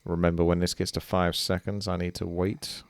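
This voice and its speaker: A man's voice: sits low, level tone, normal speed, creaky, no room sound. A man giving casual live gameplay commentary as a streamer, thinking aloud as he plays. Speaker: game streamer.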